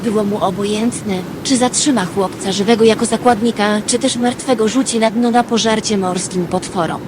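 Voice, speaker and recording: A woman playing a wistful dreamer, narrating in an expressive literary storytelling manner for an audio drama. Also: medium pitch, moderate pace, background noise, natural voice. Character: Lady Number Two, wistful female dreamer telling her dream.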